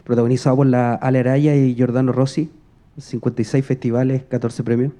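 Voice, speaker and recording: Very dark, mellow-voiced man, rambling question. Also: mid-range voice, flat delivery, a little fast. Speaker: film director asking an audience question. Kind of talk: informal audience question at a conference.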